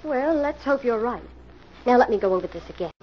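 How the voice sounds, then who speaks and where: Casting: actress as loyal young female assistant, slightly hesitant, lightly pitched, vintage radio drama with some noise. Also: animated, a little fast, close to an everyday voice. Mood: earnest. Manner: earnest, polite, a little hesitant. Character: loyal, earnest young woman assistant undercover. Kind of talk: 1940s radio acting. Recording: muffled, slightly noisy old radio recording.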